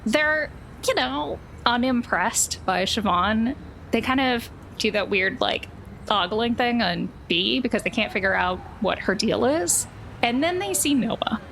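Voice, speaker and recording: A woman's voice: a little high, expressive, unhurried, vocal fry, fairly bright tone, a little hiss or hum, rough recording. A woman casually narrating a tabletop roleplaying game as game master.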